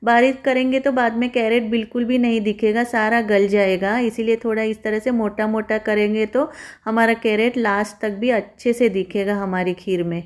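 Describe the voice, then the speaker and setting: A woman's voice: a little high, steady tone, moderate pace. A woman home cook giving a step-by-step recipe voice-over, instructing viewers in a friendly, familiar form of address.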